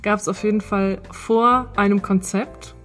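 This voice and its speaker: A woman's voice: mid-range voice, steady tone, measured pace, a bit bright, soft background music. A woman speaking casually as a podcast host, chatting to her listeners.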